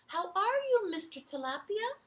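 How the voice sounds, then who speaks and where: A woman's voice: extremely high pitch, sing-song, moderate pace, bright, forceful tone, voice pushed up, heavily acted. A woman voicing a friendly, encouraging male seahorse from a children's storybook, with courteous singsong greetings.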